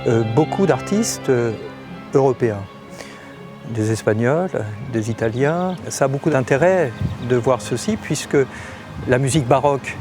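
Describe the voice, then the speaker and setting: A man's voice: medium pitch, lively intonation, unhurried. A man in a formal, reflective interview about hosting musicians. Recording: music bed under voice.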